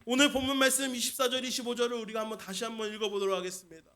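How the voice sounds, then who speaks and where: A man's voice: very high voice, level tone, very fast, bright, forceful tone. A man preaching a Sunday sermon as senior pastor, putting questions to the congregation and repeating key points for emphasis. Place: church service.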